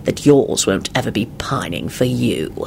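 Wizard hero, played by a male narrator: quiet, flat, coldly controlled, gravelly fry, faint hiss behind. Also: normal speed, natural voice. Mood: cold calm. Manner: low, hushed, flat, calm.

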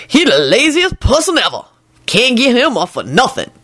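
Woman doing a high, grumbling, suspicious big woman. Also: wildly swooping pitch, normal speed, fairly bright tone, husky, no room sound, studio quality, heavily acted. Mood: suspicious, scornful. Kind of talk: audiobook character dialogue.

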